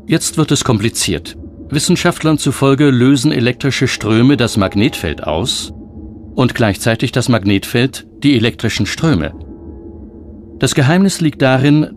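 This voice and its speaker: A man's voice: medium pitch, slight background noise. A man reading scripted documentary narration as the narrator. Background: plucked guitar music.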